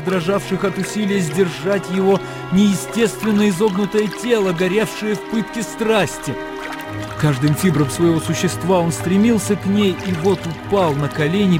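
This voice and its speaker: A man giving a theatrical, expressive narration of a literary radio play. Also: high-pitched. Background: music.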